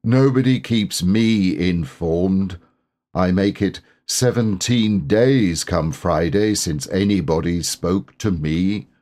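A man voicing a gloomy, aggrieved donkey in a storybook, mournful, deadpan and drawn-out. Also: low voice. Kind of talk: children's storybook character reading.

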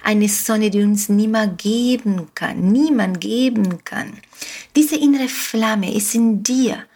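A woman with a Spanish accent, a native Spanish speaker from Uruguay, hosting a motivational podcast with slow, repetitive, emphatic phrasing. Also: mid-range voice, a little mellow, slightly rough. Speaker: podcast host, coach. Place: home studio on an island.

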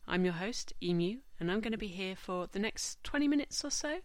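A woman hosting a podcast, stuffy from a cold, speaking in a measured, informative way. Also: medium pitch, animated, no echo. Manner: measured, informative, reads lists slowly. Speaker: podcast host. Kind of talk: scripted podcast monologue.

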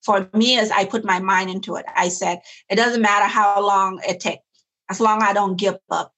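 A woman's voice: mid-range voice, steady tone, moderate pace, fairly bright tone. A woman talks in an interview about the nonprofit she founded. She speaks earnestly and reflectively, often restarting a phrase before she goes on. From South Sudan. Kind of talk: interview conversation.

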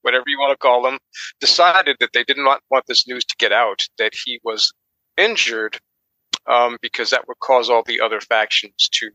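A man's voice: medium pitch, normal speed, bright, forceful tone, dry recording, low-quality recording. A man co-hosting a podcast, giving a casual book summary in an informal, tentative way. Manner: tentative, informal, hedging as he explains. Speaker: podcast co-host.

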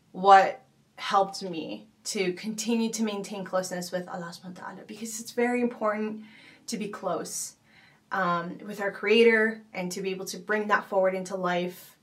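Woman podcast host, mid-pitched, reflective and unhurried, with lots of ums and restarts, set a little back from the mic. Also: steady tone, distant mic. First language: not Arabic. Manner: thoughtful, many fillers and restarts, gentle laughs. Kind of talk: casual reflective talk.

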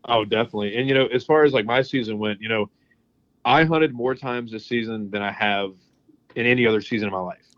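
A man from Louisiana, a guest on a podcast, telling relaxed stories with lots of "you know" and "man.". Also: fairly low pitch, quick pace, no room sound, lo-fi sound. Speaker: guest, app co-owner. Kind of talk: casual podcast chat.

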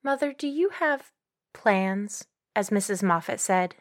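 A woman's voice: mid-range voice, unhurried, fairly bright tone, natural voice. Actress as an earnest, bashful young woman confessing. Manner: confessional, self-reproachful. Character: earnest, bashful young woman confiding guiltily in her mother. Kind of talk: dramatized audiobook character acting.

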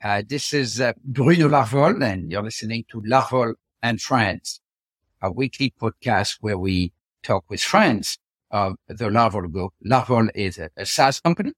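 A man with a French accent hosting a podcast interview, speaking haltingly with frequent fillers and restarts. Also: on the low side, expressive, no room sound. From France. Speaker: podcast host. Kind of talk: casual interview chat.

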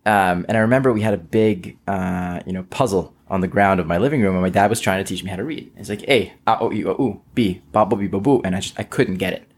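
A man's voice: deep voice, fast, hardly any pauses, slightly rough. A young man from Israel, a native Hebrew speaker, telling his personal story earnestly as an interview guest, with many fillers. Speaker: guest, company founder. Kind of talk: casual interview.

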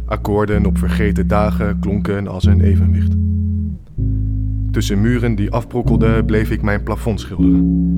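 A man reciting his poetry as a spoken word poet. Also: fairly low pitch. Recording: loud guitar music behind the voice.

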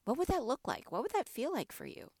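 A mother hosting a motivational podcast, her voice a little raspy from allergies, talking earnestly and encouragingly and asking her listeners question after question. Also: sits high, sing-song, a little fast, darkish tone, no echo, studio quality. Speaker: podcast host.

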